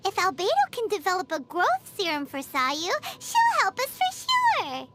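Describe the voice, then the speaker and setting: Squeaky, very high-pitched childlike fairy companion. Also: female voice, huge pitch swings, measured pace, loud music behind the voice, exaggerated voice. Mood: eager. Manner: speaks of self in third person. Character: tiny bubbly childlike female floating fairy companion, excitable and dramatic. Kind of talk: video game character dialogue.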